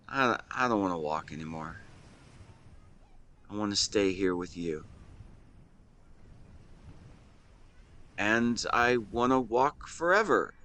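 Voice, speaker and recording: A man playing a shy young man in love in a romantic audio roleplay, speaking in an earnest, halting, emotional way. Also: on the low side, a bit bright. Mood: tender. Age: young adult. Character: shy young man tenderly confessing love to best friend.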